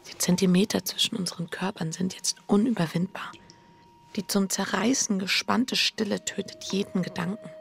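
An actress playing an anxious, guilt-ridden wife in a radio play, speaking introspectively in an intimate, often hushed way. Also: female voice, medium pitch, fairly even intonation, normal speed, creaky, slight background noise. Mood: tense, hushed. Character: anxious, guilt-ridden wife confessing her inner torment.

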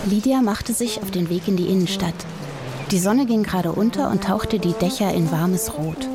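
A woman's voice: mid-range voice, normal speed, loud music behind the voice. A woman reading a children's audiobook as a scripted story narrator.

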